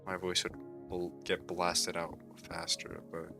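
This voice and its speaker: A tired young man with a sore throat, rambling laid-back and low on a chill stream, with plenty of "like" fillers. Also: monotone, slow pace, vocal fry, over music. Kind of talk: casual rambling stream talk.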